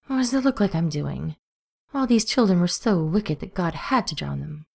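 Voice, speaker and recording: A woman voicing a sardonic male demon, talking in a dry, quick, wry way. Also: on the low side, lively intonation, vocal fry, a little mellow. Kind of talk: multivoice podfic reading.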